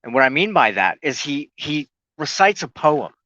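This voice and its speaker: A man giving an earnest, unscripted spoken teaching as a broadcast host, reflective and halting, often pausing in the middle of a sentence. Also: fairly high pitch, big pitch swings, pushed and bright, no echo, highs cut off.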